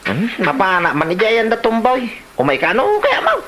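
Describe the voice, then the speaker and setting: A man with an Ilocano accent acting a cheerful, boastful drinker in a comedy drama, with drawn-out exclamations. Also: high-pitched, huge pitch swings, noisy background. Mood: persuading.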